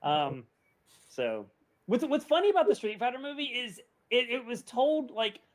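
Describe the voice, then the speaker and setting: A man's voice: very high-pitched, very animated, normal speed. A man co-hosting a podcast, giving blunt opinions in casual chat with emphatic exaggeration. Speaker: podcast co-host.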